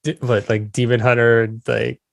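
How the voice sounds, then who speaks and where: A man's voice: medium pitch, level tone, normal speed, vocal fry, dry recording. A man hosting a podcast interview in a casual, informal, slangy way, reacting to his guest. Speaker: podcast host.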